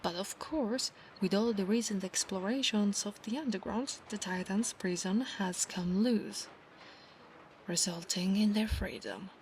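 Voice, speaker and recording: A proud, battle-hungry centaur knight played by a woman speaks with an Italian accent straight to the listener. Her voice sits at a middle pitch, soft and formal, with breathy whispers in an ASMR roleplay. Noticeable ambient noise runs behind her. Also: measured pace, lightly acted, low-quality recording. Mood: calm, proud. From Italy. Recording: clear background noise, ambience.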